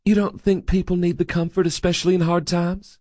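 A man voicing a gentle, hospitable woman preacher with a soothing, courteous manner. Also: high-pitched, level tone, a little fast, dry recording, clean studio sound, voice pushed up, close to an everyday voice. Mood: earnest.

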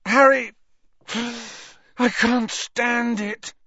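A narrator voicing an overwrought, lovesick teenage boy in a breathy, volatile way, as in an audiobook. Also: mid-range voice, fairly even intonation, drawn-out words, bright, forceful tone, no room sound, highs cut off, voice pushed up, exaggerated voice. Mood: lovesick, anguished.

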